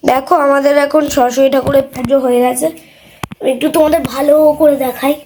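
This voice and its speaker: A woman's voice: high-pitched, fairly even intonation, quick pace. A woman narrating a casual show-and-tell vlog, pointing things out one by one. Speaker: vlog host.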